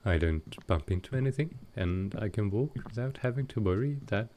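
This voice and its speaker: A man playing a gentle, awkward male vampire in an intimate comfort roleplay, speaking tenderly and haltingly. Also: lowish voice, animated, a little hiss or hum, natural voice. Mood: quietly focused. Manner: halting, hesitant, tender, soft-spoken.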